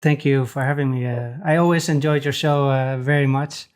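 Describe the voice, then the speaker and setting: A man from the Netherlands with a Dutch accent, a podcast guest talking casually with frequent hesitant pauses. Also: mid-range voice, steady tone, darkish tone. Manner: hesitant, many pauses and restarts. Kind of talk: casual interview chat.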